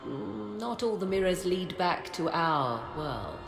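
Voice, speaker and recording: A woman playing a poised, proud sorceress in a video game, speaking formally and enigmatically in a measured, haughty manner. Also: lowish voice, big pitch swings, creaky, full character voice. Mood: measured, knowing. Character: poised, cryptic sorceress, proud keeper of ancient secrets. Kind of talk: dramatic video game dialogue. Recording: reverberant, with game music behind.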